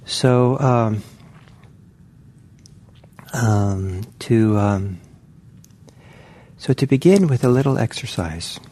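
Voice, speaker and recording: A man who is a native Norwegian speaker gives a gentle, halting dharma talk as a meditation teacher, leading an online session with many pauses and fillers. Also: fairly low pitch, expressive.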